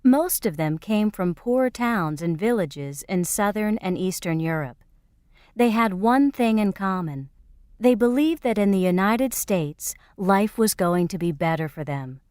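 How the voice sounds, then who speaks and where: Woman reader, slow careful delivery, dry close studio sound. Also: medium pitch, animated, darkish tone, no room sound. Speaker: textbook reader. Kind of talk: reading example sentences and a passage. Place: recording studio.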